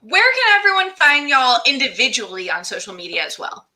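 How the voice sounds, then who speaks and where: Female host, high pitch, strikingly bright and forceful tone, upbeat, casual, playful. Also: very animated, fairly quick, poor audio. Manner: exuberant, playful. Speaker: show host.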